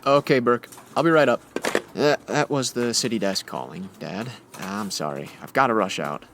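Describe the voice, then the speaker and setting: A man's voice: medium pitch, normal speed, gravelly, a bit bright, a little hiss or hum. An actor playing a skeptical, wry male newspaper crime photographer in old-time radio drama style. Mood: guarded, polite.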